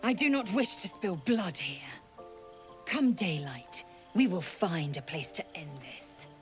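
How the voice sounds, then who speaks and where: A woman's voice: lowish voice, sing-song, slow, soft background music. Haughty alien warrior woman, swooping delivery. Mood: menacing. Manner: haughty, clipped, formal. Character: proud, fierce alien female warrior, contemptuous and blunt. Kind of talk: dramatic video game dialogue.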